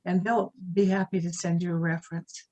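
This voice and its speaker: A woman's voice: fairly low pitch, level tone, a little fast, a little mellow, lo-fi sound. Woman losing her voice. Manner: practical, many fillers. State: losing her voice, clears throat. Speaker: expert co-host. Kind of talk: casual advice on a video call. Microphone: video call.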